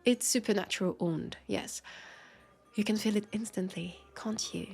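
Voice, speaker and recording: A woman playing a teasing, haughty vampire detective with a French accent, in an intimate roleplay audio drama, her dry playful lines spaced with lingering pauses. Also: medium pitch, darkish tone, soft background music. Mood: relaxed, appreciative. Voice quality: husky, breathy with creaky edges. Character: teasing, haughty female vampire detective, sardonic new partner.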